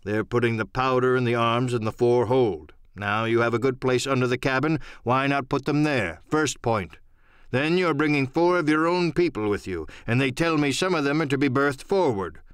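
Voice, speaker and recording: A man's voice: medium pitch, normal speed, smooth, no room sound, lightly acted. A stern, middle-aged sea captain, acted by a man for an audio adventure play. He speaks bluntly and formally in clipped phrases, plain and dutiful, with a close, dry sound. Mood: firm, insistent. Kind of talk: audiobook radio-play acting.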